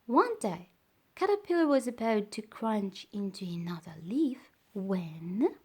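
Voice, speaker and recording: A woman reading a children's story aloud as storyteller, with deliberate, dramatised phrasing. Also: medium pitch, sing-song, slightly rough.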